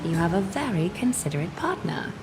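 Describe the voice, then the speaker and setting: A woman's voice: on the low side, sing-song, a little fast, loud music behind the voice. A poised, enigmatic fantasy noblewoman. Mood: formal, polite. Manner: poised, formal, gently teasing. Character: composed, courteous, enigmatic noblewoman heroine of a fantasy world. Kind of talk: scripted video game voice acting.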